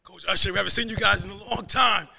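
Man, sports interviewer, high gravelly voice, very bright, casual, halting. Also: steady tone. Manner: casual, halting questions with fillers. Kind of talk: post-game interview questions.

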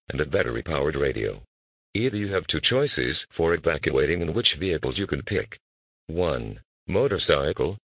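A very deep male alert voice with a husky, breathy edge, reading in a stiff, synthetic manner. Despite the low register it has a bright, forward tone and swoops widely in pitch. The sound is dry and close. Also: no room sound. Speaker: emergency alert announcer. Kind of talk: emergency bulletin reading.

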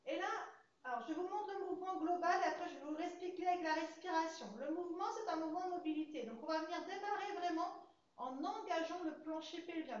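English-accented woman coaching Pilates in an echoey studio. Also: very high-pitched. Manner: calm, counts breaths while demonstrating. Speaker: Pilates instructor. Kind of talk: guided exercise class. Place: large fitness studio with hard floor, indoors. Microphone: far camera. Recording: echoey studio, dull sound, faint hiss.

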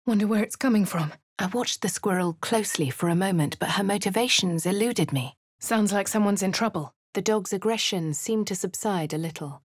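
An actress voicing a posh young woman adventurer in a game, speaking in a posh English accent and swinging between quiet whispers and sharp cries, recorded close in a studio. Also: medium pitch, brisk, dry recording, close to an everyday voice. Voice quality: crisp upper-class delivery. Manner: varied reading, from soft whispers to sharp shouts. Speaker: player character. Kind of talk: game voice lines, first-person narration. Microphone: close studio mic.

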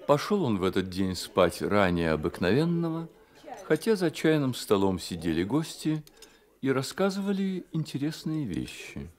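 A man's voice: medium pitch, very animated, moderate pace. A man reading literary narration as the storyteller of a radio play.

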